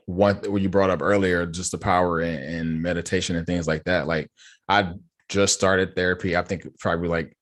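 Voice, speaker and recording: A man's voice: sits low, flat delivery, moderate pace. A man hosting a casual podcast conversation, reflective and agreeable, often affirming what is said. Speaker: podcast host.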